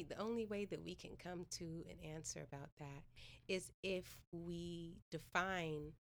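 A woman's voice: lowish voice, creaky. A woman from Northern California, a playwright and professor, talking through ideas in a thoughtful podcast conversation, with many "like"s and restarts. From Northern California, USA.

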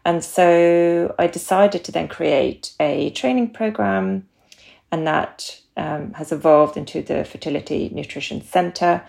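Swedish-accented woman with a low, gravelly voice. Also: measured pace, a little mellow. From Sweden. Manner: hesitant, many ums and restarts. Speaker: guest, nutritional therapist. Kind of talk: casual podcast interview.

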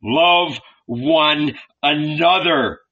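A man's voice: sits high, slow, highs cut off, pitched above the performer's own voice. A man voicing an impassioned male prophet who preaches to a crowd in a raised, imploring voice. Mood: crying out. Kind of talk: audiobook character voice.

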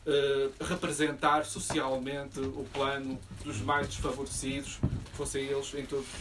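A man speaking spontaneously about politics at a public discussion, in formal wording with hesitant, halting phrasing. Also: mid-range voice, completely flat delivery, a bit bright. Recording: distant room microphone, faint background noise.

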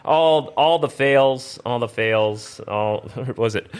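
A man's voice: medium pitch, slow pace, clear, resonant tone. A man hosting a gaming show, chatting casually and encouraging the others as they play. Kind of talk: casual chatty banter while playing video games.

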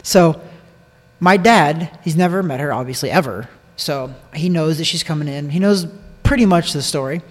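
Higher-voiced American man, casual storyteller, reverberant. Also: normal speed. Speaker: podcast speaker telling a personal story. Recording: long echoing reverb.

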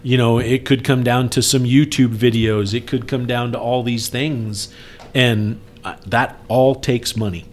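Earnest man with a medium-pitched voice. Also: steady tone, unhurried. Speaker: speaker appealing for campaign support. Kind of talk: casual podcast talk.

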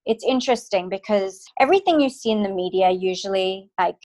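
A woman's voice: mid-range voice, a little mellow. Earnest woman, emotional interview guest. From Australia. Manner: earnest, emotional, halting at times. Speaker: guest, clinical psychologist. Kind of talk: remote interview.

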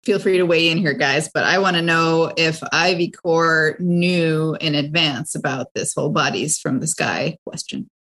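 A woman's voice: deep voice, normal speed, hardly any pauses, fairly bright tone. A woman talking casually as a player in a tabletop role-playing game. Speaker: player on a game show podcast.

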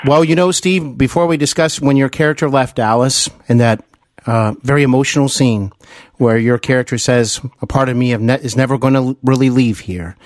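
A man hosting an interview podcast, asking questions conversationally in a deliberate, emphatic way. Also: mid-range voice, dry recording, studio quality.

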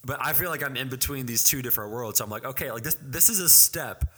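A man's voice: mid-range voice, quick pace, bright, forceful tone, slightly rough. A man in his twenties, a student pastor, co-hosting a casual podcast and speaking earnestly with many fillers and restarts. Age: 20s.

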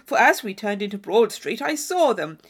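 A woman voicing a brash, boastful young man in an audiobook, pushy, forceful and emphatic. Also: medium pitch, big pitch swings, normal speed, husky. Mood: boastful, blustering.

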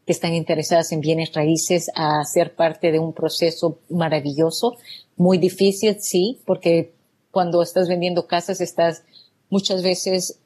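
A woman's voice: fairly low pitch, fairly even intonation, measured pace, mellow, breathy edge. A woman from El Salvador, a business owner answering interview questions in an earnest, grateful way. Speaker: guest, real estate business owner.

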